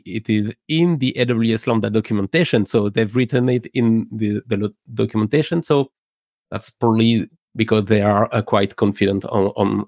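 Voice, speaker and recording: Gravelly low male co-host, dry mic, halting filler-heavy delivery. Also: no echo. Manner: halting, many ums and restarts. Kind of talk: news segment in tech show.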